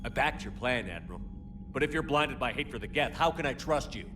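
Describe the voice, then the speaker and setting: A blunt military commander, acted by a man in a game. His medium-pitched voice is firm and challenging, with clear ambient noise behind it. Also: lively intonation, a little fast, close to an everyday voice. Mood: frustrated, firm. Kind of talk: video game acting.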